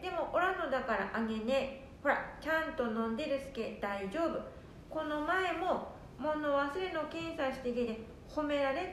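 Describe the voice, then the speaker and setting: A forgetful, hasty old grandmother acted by a woman chatters in a high voice with a Nanbu dialect. She rambles to herself with stubborn good cheer in this amateur radio play. The room echoes and there is faint rumble behind her. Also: fairly bright tone. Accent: Nanbu dialect, northern Tohoku. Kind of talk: amateur radio drama acting.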